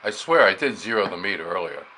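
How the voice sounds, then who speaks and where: A man casually demonstrating amateur radio gear, haltingly thinking aloud as he works the controls. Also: low voice, animated, bright, forceful tone. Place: beside operating radio equipment. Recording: noisy recording with clear background hiss.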